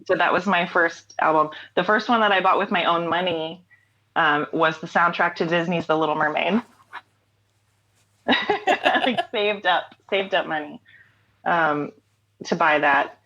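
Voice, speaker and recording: A woman's voice: lowish voice, a little slow, pauses often, sharp tone, muffled recording. A woman in her thirties, a singer-songwriter talking casually as an interview guest, rambling with fillers and laughs.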